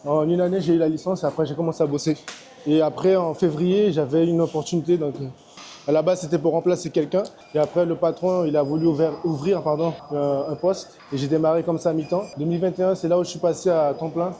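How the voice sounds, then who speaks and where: A man's voice: fairly high pitch, steady tone, fast talker, dark tone. A man in his thirties from French Guiana, a personal trainer chatting casually in a large gym, hesitant with many fillers. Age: 30s. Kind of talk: casual chat.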